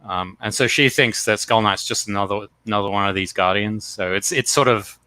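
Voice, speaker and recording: A man's voice: on the low side, a little fast, bright, forceful tone, dry recording. A man chatting casually and crudely about his comic pages, laid-back and joking. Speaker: comic artist co-host. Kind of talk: casual chat.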